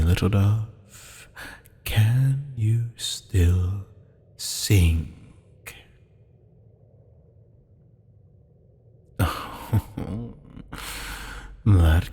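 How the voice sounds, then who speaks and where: A man playing a haughty, teasing demon buyer in an ASMR roleplay drama, with a low, gravelly, husky voice and a taunting, mock-polite manner. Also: animated, extremely slow, mellow, exaggerated voice. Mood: teasing, intrigued. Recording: long hall-like reverb.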